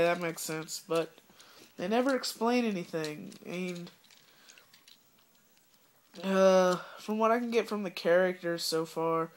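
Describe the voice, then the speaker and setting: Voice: medium pitch, a little hiss or hum. A young fan who is sick and coughing, giving a rambling, disappointed casual review full of fillers.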